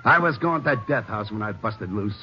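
An American actor playing a desperate, bitter escaped killer in a 1950s radio drama, taunting in rough street slang. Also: male voice, lowish voice, animated, moderate pace, bright, forceful tone. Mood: bitter, menacing. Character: desperate, bitter escaped killer, gutter-raised tough guy. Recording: noisy, dull old radio recording.

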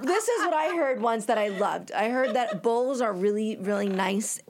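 A woman's voice: on the high side, expressive, normal speed, over a noisy crowd. A young woman from New Jersey chatting casually and telling stories, full of fillers and restarts. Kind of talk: casual chat.

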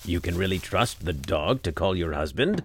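A man reading a storybook aloud and voicing a band of greedy robbers in a loud, demanding tone. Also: low voice, expressive, normal speed, heavy background noise.

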